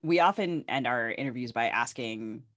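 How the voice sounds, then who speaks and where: A woman's voice: a bass voice, normal speed, a bit bright, dry recording. Woman podcast host, close dry sound. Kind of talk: conversational literary interview questions.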